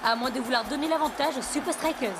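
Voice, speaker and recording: A woman playing an excited football match commentator in a dubbed cartoon. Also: high-pitched, fast. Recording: music and crowd behind.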